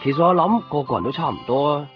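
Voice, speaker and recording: A man's voice: fairly high pitch, lively intonation, fast, lightly acted. A man playing an easygoing young copy shop keeper who narrates and chats in a Hong Kong Cantonese radio drama. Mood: wistful. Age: young adult. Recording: muffled radio recording with music.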